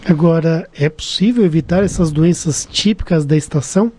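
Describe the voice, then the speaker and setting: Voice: medium pitch, moderate pace, warm tone, breathy edge, no echo. A Brazilian radio host asking a guest questions in a live radio interview. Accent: Brazilian Portuguese. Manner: conversational, asks questions.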